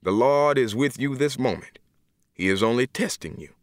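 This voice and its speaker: A gentle old preacher, played by a male narrator reassures in a calm, close, dry voice with a natural mid pitch. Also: normal speed, no room sound, lightly acted. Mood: consoling. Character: older country preacher, gently consoling.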